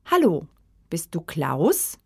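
A woman reading numbers and textbook dialogue lines with careful, deliberate enunciation for a language course. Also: low-pitched, wildly swooping pitch, gravelly. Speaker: course audio speaker.

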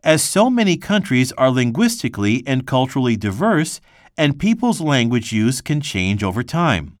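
A man reads a textbook lesson aloud in a measured, even delivery. His pitch moves in a lively way. The sound is dry and close. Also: no echo. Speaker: textbook reader. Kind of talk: scripted reading.

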